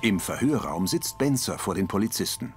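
A man's voice: fairly low pitch, sing-song, normal speed, music in the background. A man reading scripted audio description for a TV series.

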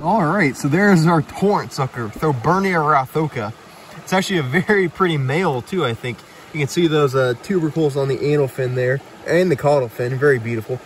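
A man's voice: fairly high pitch, brisk. A young man with a slightly raised voice narrates his catch by a mountain creek, chatty and full of enthusiasm. He is recorded on a body-worn action camera, with faint outdoor noise and running water behind him. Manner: enthusiastic, chatty, filler-laden. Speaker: fishing vlogger.

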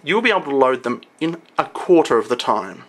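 Young guy walking thru gear on camera, explains stuff, pitch goes up and down a lot. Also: very animated, brisk, fairly bright tone. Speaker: video presenter showing computer parts. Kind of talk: informal product walkthrough on camera.

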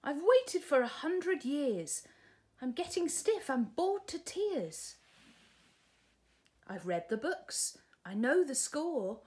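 A woman's voice: high-pitched, sing-song, slow pace, a little mellow, voice pushed up, full character voice. A woman voicing a bold, impatient young storybook princess in a cheeky, exclamatory way. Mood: bored, sighing. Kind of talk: storybook character reading.

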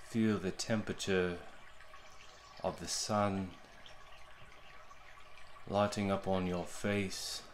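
A man leading a guided meditation in a gentle, soothing, unhurried way, with long pauses between short phrases. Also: deep voice, flat delivery, slight background noise.

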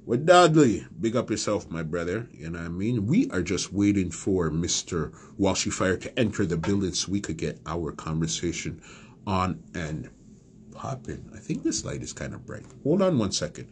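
A man in his forties hosts a live stream from a small room at home, talking to a close webcam. His pitch swings widely as he hypes the viewers, with faint hiss behind him. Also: moderate pace. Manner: animated, hypes the audience with shout-outs. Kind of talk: casual live stream chat. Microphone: phone or webcam at close range.

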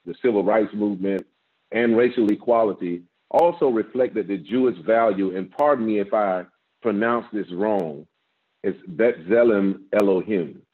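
A man in his fifties, a guest pastor, preaching a measured sermon with a strong voice from an office over a video call, rising with emphasis and repeating words as he builds. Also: lowish voice. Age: 50s. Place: office with framed certificates, indoors. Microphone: video call, laptop camera. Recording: tinny phone-like video-call audio.

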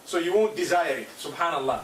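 Calm preacher in an echoey lecture room. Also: male voice, a little high, quick pace, over a noisy crowd. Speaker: preacher in played clip. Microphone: desk mic on stand. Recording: echoey, distant, faint hiss.

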